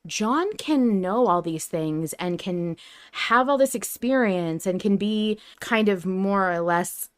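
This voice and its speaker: A woman hosting a casual podcast discussion about fiction, talking in an animated, emphatic and slangy way. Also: medium pitch, slow. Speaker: podcast host.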